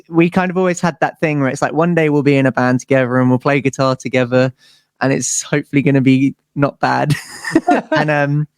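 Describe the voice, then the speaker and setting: A man's voice: mid-range voice, clear, resonant tone, no room sound, clean studio sound. A man with a British accent in a casual interview, telling stories as a musician in a rambling, informal way. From United Kingdom.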